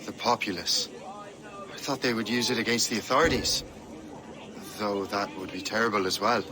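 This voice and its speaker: Low brooding young sea captain played by a man. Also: steady tone, normal speed, crowd noise, close to an everyday voice. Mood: concerned. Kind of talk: video game cutscene acting.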